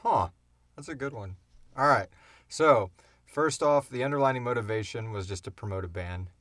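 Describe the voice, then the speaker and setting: A man's voice: mid-range voice, moderate pace, fairly bright tone, dry recording, clean studio sound. A middle-aged man hosting a podcast, chatting casually in a rambling, informal, self-deprecating way. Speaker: podcast host and audio drama creator. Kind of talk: casual Q&A chat. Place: home podcast studio.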